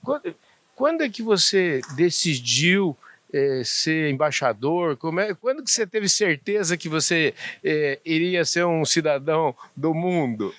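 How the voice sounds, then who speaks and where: A man's voice: fairly high pitch, animated, a little slow, a bit bright, no room sound. Friendly male host asking casual questions. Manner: friendly, teasing questions with short backchannels. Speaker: talk show host. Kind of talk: casual interview.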